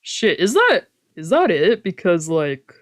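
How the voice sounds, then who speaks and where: Mid-pitched streamer with informal, wry delivery. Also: huge pitch swings, takes it slowly, a bit bright. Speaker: streamer playing a visual novel. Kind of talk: casual let's play, reading text aloud with commentary.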